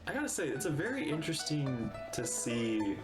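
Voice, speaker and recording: A woman casually commenting as she plays a video game on a livestream, in halting, thoughtful phrases. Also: rumbling low, very animated, roomy sound, music in the background. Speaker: streamer reacting to a game. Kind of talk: casual livestream commentary, reading along and reacting.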